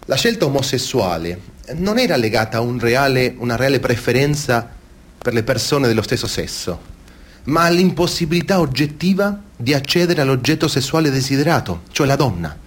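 An actor playing a male expert who explains in a deliberate, didactic and emphatic way, as in a scripted interview. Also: medium pitch, close to an everyday voice. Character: measured male expert explaining clergy abuse. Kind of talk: scripted interview-style stage testimony.

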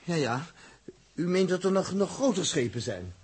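Astonished crewman,, high and very husky, stammering, vintage sci-fi radio drama. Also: expressive, moderate pace, darkish tone, full character voice. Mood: incredulous. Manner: stammering, incredulous. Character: astonished male Earth spaceship crewman, excitable. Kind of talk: 1960s Dutch science fiction radio play acting.

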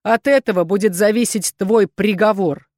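A woman's voice: medium pitch, moderate pace, a little mellow, no room sound, studio quality, natural voice. A woman voicing a cold, stern male duke and rector who interrogates with scornful, commanding authority. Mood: grim command.

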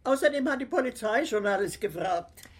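Husky, nosy caretaker woman. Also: fairly high pitch, animated, a little fast. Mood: guarded, blunt. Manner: blunt, suspicious questioning, plain colloquial talk. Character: nosy, blunt, chatty female building caretaker. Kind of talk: radio drama acting.

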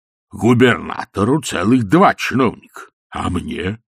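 A self-important middle-aged official, as a male narrator voices him, gruff and grumbling in a low, slightly husky voice. It sounds close and dry. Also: animated, unhurried, dry recording, exaggerated voice.

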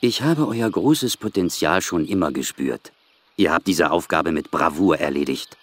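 A man's voice: sits low, expressive, moderate pace, no echo. A man playing an old sage in a parody audio drama, solemn and preachy like a ceremonious game quest-giver. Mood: solemn, grateful. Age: older.

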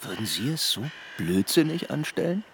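An actor in a German radio drama playing a proud, elusive convicted professor who speaks with measured defiance. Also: male voice, medium pitch, sing-song, a little hiss or hum. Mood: taunting. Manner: measured, deliberate.

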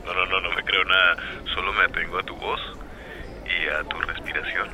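Male radio drama lover, Colombian accent, deep and crisp-edged, sweet-talking, smitten, with some background noise. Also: steady tone, moderate pace, sharp tone. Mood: teasing. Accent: Colombian, Bogotá. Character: smooth-talking, lovestruck Colombian man flirting by phone. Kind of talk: radio drama acting, intimate phone conversation.

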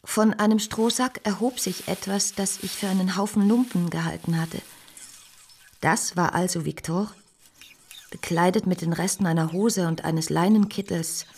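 An actress playing a composed, compassionate bourgeois lady who tells her story in a measured, earnest radio drama style. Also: fairly low pitch, a little mellow, breathy edge. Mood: troubled, resolute. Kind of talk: radio drama narration and dialogue.

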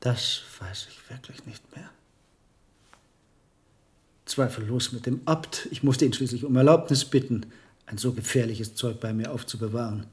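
An actor playing an earnest, musing monastery herbalist in a German radio play, speaking in a deliberate, thoughtful way. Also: male voice, medium pitch, natural voice. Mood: pensive, troubled.

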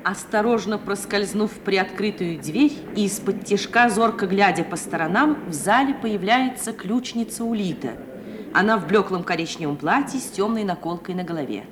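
A woman narrator reading stage directions for a radio play in a measured, literary manner. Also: medium pitch, background noise.

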